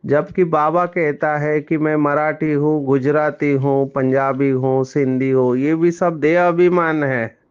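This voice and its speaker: A man delivers a spiritual discourse at a measured, very slow pace. His voice sits a little high for a man and rings smooth and clear. The recording sounds slightly muffled. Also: level tone. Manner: slow, explanatory, mixing in English words. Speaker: spiritual teacher.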